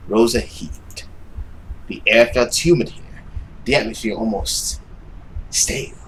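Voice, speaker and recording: Young man narrating suspensefully over background noise. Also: medium pitch. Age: young adult. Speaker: gaming YouTuber reading a visual novel aloud. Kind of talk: dramatic story narration, read aloud. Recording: noisy home recording with background ambience.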